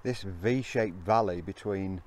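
A man's voice: sits low, takes it slowly, a little mellow. A middle-aged man vlogging on a hillside hike, talking casually to a handheld camera with an upbeat, chatty manner. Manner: chatty, upbeat, laughs between remarks. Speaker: hiking vlogger. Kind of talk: casual vlog narration. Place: outdoors on open fell hillside. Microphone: handheld camera at arm's length.

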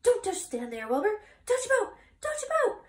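A woman voicing a bossy, alarmist female goose in a storybook, shouting shrill commands and repeating herself urgently. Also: huge pitch swings, moderate pace, exaggerated voice. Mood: bossy, excited. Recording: roomy echo, distant microphone.

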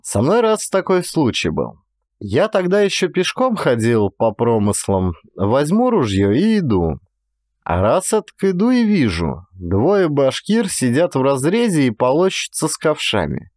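A man acting an animated, folksy Cossack inspector. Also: medium pitch, unhurried, no echo, clean studio sound. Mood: grumbling, insistent. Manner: colloquial, emphatic storytelling. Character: gruff, talkative male Cossack gold-field inspector, folksy, stubborn. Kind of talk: dramatized audiobook character dialogue.

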